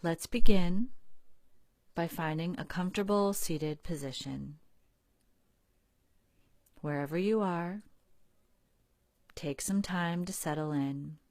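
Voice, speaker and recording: Low, very slow woman guiding meditation. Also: no room sound, studio quality. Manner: calm, unhurried, soothing, with long pauses. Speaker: guided meditation leader. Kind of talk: guided relaxation narration.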